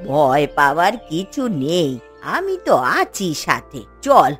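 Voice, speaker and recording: Lady doing old village grandma for a cartoon, kindly, drags her words, swoopy pitch, bit of music behind. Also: huge pitch swings, slow, fairly bright tone, full character voice. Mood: curious, reassuring. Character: gentle elderly village grandmother, reassuring, tempted by treasure. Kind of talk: Bengali fairy-tale cartoon acting.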